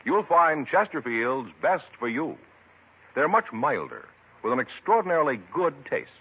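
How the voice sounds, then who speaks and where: A man with an American accent reading a 1950s radio advertisement as a deliberate, persuasive announcer. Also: a little high, big pitch swings, slightly rough. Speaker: commercial announcer. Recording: tinny 1950s radio recording.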